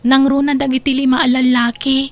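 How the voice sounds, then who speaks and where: Casting: woman to play young, sweet, obedient student, high-pitched, Ilocano accent, radio drama. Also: flat delivery, fairly quick, close to an everyday voice. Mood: uneasy, respectful. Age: young adult.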